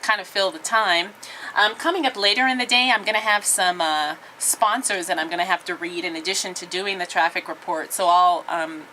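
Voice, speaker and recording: Bright-voiced woman radio traffic reporter. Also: lowish voice, low-quality recording. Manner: easygoing, halting with frequent ums and uhs. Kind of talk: casual vlog chat with an on-air traffic read. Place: radio station office and studios, indoors.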